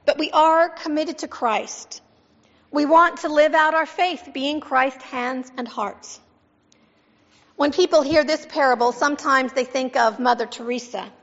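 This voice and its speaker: A woman pastor reading scripture and giving a sermon, measured and emphatic, asking the congregation questions. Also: high voice, a bit bright. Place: church sanctuary. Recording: dull sound with long church reverb.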